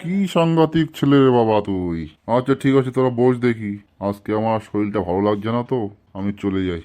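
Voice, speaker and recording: A man's voice: on the low side, brisk, dark tone, natural voice. A man playing an exasperated, easily angered schoolteacher in a comic cartoon, scolding and long-suffering. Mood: weary, irritated.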